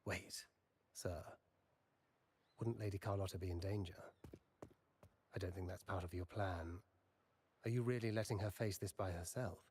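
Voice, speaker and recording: An actor playing a worried, loyal male retainer in a video game, speaking in a concerned, questioning way with a husky voice. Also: low-pitched, fairly even intonation, moderate pace, pauses often, darkish tone.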